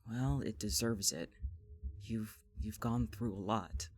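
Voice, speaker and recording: A woman's voice: a bass voice, slow, darkish tone, heavily acted. A woman acting a blunt, foul-mouthed, guilt-ridden young friend in a naturalistic audio drama. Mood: shaken, hesitant. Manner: blunt, sweary, emotionally raw.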